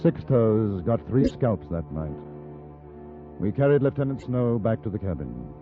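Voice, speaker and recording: An actor with a British accent playing a courteous, measured gentleman newspaper correspondent in a 1950s radio drama. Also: lowish voice, very animated. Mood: sombre narration. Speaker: lead actor and narrator. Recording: muffled 1950s radio recording, music behind.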